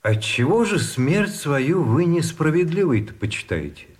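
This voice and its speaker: An actor playing a pedantic, wordy gentleman prisoner of war in a radio play, reasoning in a measured way. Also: a little high, very animated. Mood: pedantic, calm. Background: instrumental music.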